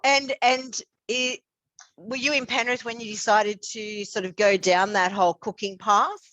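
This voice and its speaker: A woman's voice: medium pitch, fairly even intonation, measured pace, a bit bright, no echo. A woman hosting an interview, chatty and encouraging, affirming her guest often. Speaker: interviewer, host. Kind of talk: casual interview chat.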